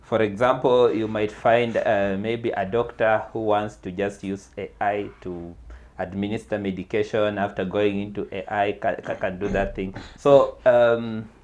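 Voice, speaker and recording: A man on a casual podcast panel who has a loud voice and speaks haltingly with repeated words. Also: fairly low pitch, flat delivery.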